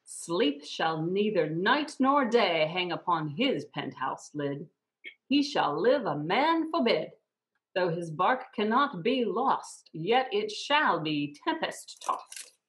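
Lady doing a menacing shakespeare witch on a video call, chanting real slow, big swoopy pitch. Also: very animated, fairly bright tone, full character voice, poor audio. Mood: vengeful. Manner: chanting verse, incantatory. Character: menacing, vengeful female witch. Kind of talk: amateur Shakespeare read-through. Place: room at home, indoors.

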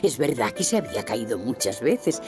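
A woman with a Castilian Spanish accent narrating a children's cartoon like a gentle storybook reader. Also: a bass voice, sing-song, moderate pace, music in the background. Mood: storytelling.

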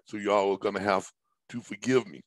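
A middle-aged man with a hoarse, somewhat low voice pitches a business idea from his home over a headset on a video call. He rambles, stacks up repeated words and fills gaps with ums. The sound is close and dry. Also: fairly even intonation, brisk, no room sound. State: hoarse, recovering from illness. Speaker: business presenter. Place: indoors at home, large room with staircase.

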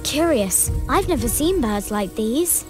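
A woman's voice: fairly high pitch, lively intonation, a little slow, music in the background. A woman voicing a clever, anxious, rather bossy young schoolgirl witch in a video game. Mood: thoughtful.

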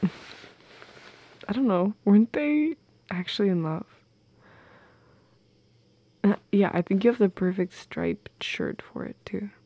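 A young woman in an intimate girlfriend audio roleplay, speaking softly and close to the mic with playful, teasing affection. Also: medium pitch, very animated, slow pace, pauses often. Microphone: close microphone, dry.

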